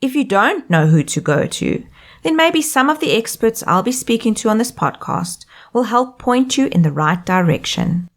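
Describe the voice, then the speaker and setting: South African woman, 30s, podcast host reading a script; animated, upbeat delivery. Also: fairly high pitch, normal speed. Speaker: podcast host and life coach. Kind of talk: scripted solo podcast monologue.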